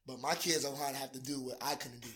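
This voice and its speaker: A young man of twenty-one guesting on a casual podcast, speaking quickly at an ordinary pitch. He is reflective and thinks out loud, often checking that his listener is following along. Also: fairly even intonation. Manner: reflective, keeps saying "you know what I'm saying". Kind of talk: casual chat.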